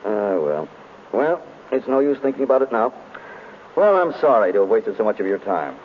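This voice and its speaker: An actor playing a disappointed, resigned visitor in an old radio drama, polite and downcast. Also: male voice, fairly low pitch, very animated, normal speed. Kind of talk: 1930s-40s radio drama acting. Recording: tinny old radio recording, faint noise.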